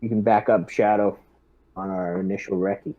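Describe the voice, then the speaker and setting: A man playing a squad lieutenant in a tabletop role-playing session, speaking in a deliberate, halting, businesslike way. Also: on the low side, steady tone, dark tone, lo-fi sound. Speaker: player acting as squad lieutenant.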